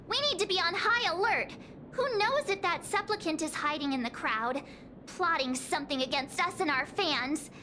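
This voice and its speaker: Chatty young female adventurer for an anime game: bright, sky-high, bouncing intonation. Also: slight background noise, full character voice. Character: perky, chatty, mischievous young girl adventurer.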